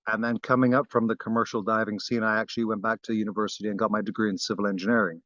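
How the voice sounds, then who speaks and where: A man from Barbados, a guest wood carver, talking in a relaxed interview with frequent fillers and restarts. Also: lowish voice, very fast, no room sound, highs cut off.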